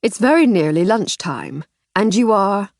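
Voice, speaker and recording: A woman's voice: mid-range voice, sing-song, unhurried, creaky, a little mellow, no echo, clean studio sound. A woman voicing a polite, matter-of-fact police inspector. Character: earnest, plain-spoken police inspector man.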